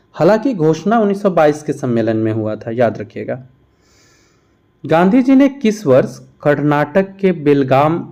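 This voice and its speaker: Mellow, higher-pitched man teaching. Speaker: teacher, exam-prep instructor. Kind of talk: question-and-answer history lesson, explanatory. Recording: dull, muffled lo-fi sound.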